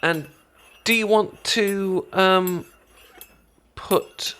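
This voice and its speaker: A British man playing a caring, chatty best friend in an intimate ASMR roleplay, talking casually with halting, stammering explanations. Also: high voice, fairly even intonation, a bit bright, clear, resonant tone, heavily acted. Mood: relaxed, instructive. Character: caring, chatty male best friend teaching sushi making.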